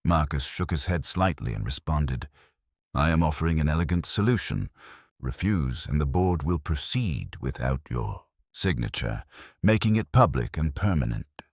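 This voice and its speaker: A man with a very low voice narrates a scripted story. His delivery is measured and formal, yet the pitch moves up and down in lively fashion. The sound is dry and close, with little room in it. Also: dry recording.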